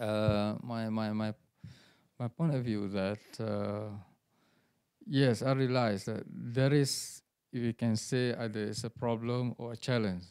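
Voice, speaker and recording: Man, gravelly, dark voice, hesitant and halting, lots of uhs, academic panelist. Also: lowish voice. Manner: hesitant, with many "uh"s and repeated words, in halting English. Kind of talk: formal panel remarks.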